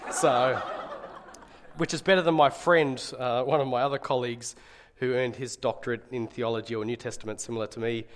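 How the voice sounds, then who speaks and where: Slightly raspy middle-aged man preaching. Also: mid-range voice, fairly even intonation, fairly quick, a little hiss or hum. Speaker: guest preacher and Bible college teacher. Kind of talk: conversational sermon.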